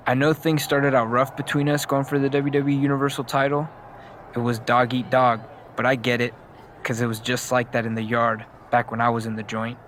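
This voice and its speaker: A man's voice: medium pitch, flat delivery, fairly quick, fairly bright tone, a little hiss or hum, close to an everyday voice. A man playing a streetwise ex-con wrestler in a video game, talking in a slangy, earnest way. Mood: conspiratorial. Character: streetwise male wrestler ex-con offering shady advice.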